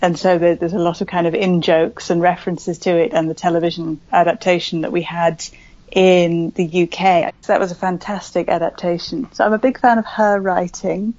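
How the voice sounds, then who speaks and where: A woman's voice: fairly low pitch, steady tone, normal speed, a little mellow, dry recording, dull audio. A woman from West Wales, an author, talking casually and at length as a podcast guest, with many fillers. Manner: chatty, rambling with fillers. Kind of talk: casual interview chat.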